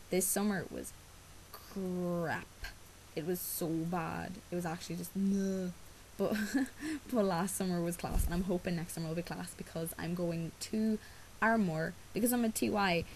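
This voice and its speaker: A woman's voice: on the low side, darkish tone, slight background noise, rough recording. A teenage girl with an Irish accent, whose first language is Irish, chatting casually to camera. She rambles with lots of ums and likes and has a sore throat from a cough. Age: teens. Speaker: vlogger. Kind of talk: casual chat.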